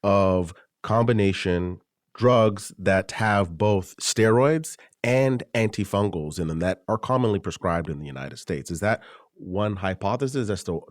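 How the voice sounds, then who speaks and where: A man hosting a medical podcast, asking measured, thoughtful questions. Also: low voice, no room sound, clean studio sound. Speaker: podcast host, physician. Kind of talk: interview questions.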